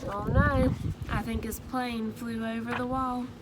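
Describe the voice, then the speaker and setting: A woman reads a children's picture book aloud, slow and gentle, and stops now and then to ask her listeners questions. Her voice sits a little high, and her pace is unhurried. A steady hum of background noise runs under the recording.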